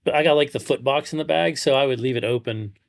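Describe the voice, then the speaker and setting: A man's voice: medium pitch, fast, creaky, dry recording, studio quality. A middle-aged man chatting casually as a podcast co-host, often repeating and restarting his phrases. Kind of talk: casual chat.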